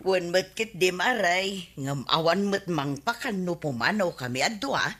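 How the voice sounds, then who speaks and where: A woman's voice: fairly low pitch, animated, measured pace, fairly bright tone. A woman playing a chatty village neighbor in an Ilocano radio comedy, gossiping familiarly with an Ilocano accent. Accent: Filipino, Ilocano.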